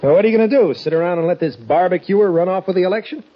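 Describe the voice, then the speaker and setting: Animated, husky young rancher. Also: male voice, sits high, rapid-fire. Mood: breezy, eager. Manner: breezy, emphatic, self-assured. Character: brash, eager 28-year-old former Western rancher. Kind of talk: old-time radio drama dialogue. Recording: muffled old radio recording.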